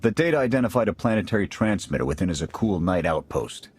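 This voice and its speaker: A seasoned special forces major, his voice deep and husky. He briefs his team in a clipped, businesslike military manner, every line sounding like an order from someone used to leading troops in a video game war. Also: sing-song, fast talker, darkish tone, no room sound, full character voice. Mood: briefing. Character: steady male special forces major, seasoned field leader. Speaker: military squad commander. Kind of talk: video game cutscene acting.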